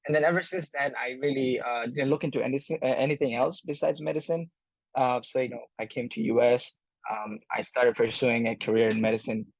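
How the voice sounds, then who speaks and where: A young man from Bangladesh, an incoming medical student, answering interview questions thoughtfully with many pauses and fillers. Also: medium pitch, dry recording, muffled recording. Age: young adult.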